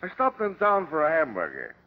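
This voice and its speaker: Male for flippant shady adventurer, slangy tough talk, high lively voice, old radio drama. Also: a little fast, phone-quality sound, exaggerated voice. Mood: breezy, cocky. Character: cocky wisecracking shady male treasure hunter.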